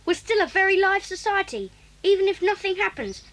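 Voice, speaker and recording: A woman's voice: very high-pitched, sing-song, a little fast, fairly bright tone, full character voice. A woman voicing an eager young child in an 1980s audio drama, pleading and persuasive.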